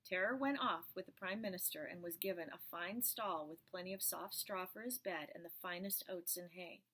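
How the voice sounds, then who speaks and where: A woman reading a picture book aloud, gently pointing out the pictures as she goes. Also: on the low side, moderate pace, poor audio. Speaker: storyteller.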